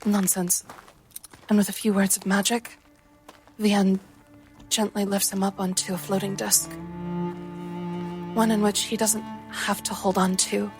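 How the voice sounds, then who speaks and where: A woman playing a tabletop fantasy game, narrating her character's actions in measured, descriptive phrases. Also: mid-range voice, level tone, faint music behind. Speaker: player.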